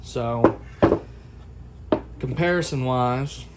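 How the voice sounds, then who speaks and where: A man's voice: medium pitch, drawn-out words. Casual man, noisy recording. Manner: informal, folksy, unscripted. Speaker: product reviewer. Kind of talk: casual unboxing and comparison vlog.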